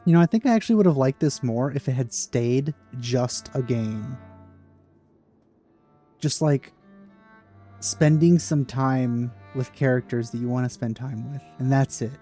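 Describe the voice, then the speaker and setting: A man's voice: mid-range voice, mellow. A man giving casual, informal gameplay commentary as a video creator, musing aloud as he goes. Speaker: let's play video creator.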